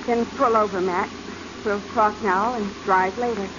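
A noisy vintage radio recording carries an actress as a breezy, plain-spoken woman adventurer. She is firm and independent, with a medium-pitched voice and dulled sound, in 1940s drama style. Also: fairly even intonation, measured pace, highs cut off, close to an everyday voice. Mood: frank, calm.

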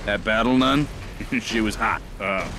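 An actor playing a cocky, wisecracking heavy-metal roadie in a video game, talking in a casual, wry, slangy way. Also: male voice, fairly low pitch, unhurried, background noise, close to an everyday voice. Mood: awkward, casual. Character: brawny laid-back heavy-metal roadie, cocky, wisecracking adult man. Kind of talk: video game cutscene acting. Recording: loud game music behind.

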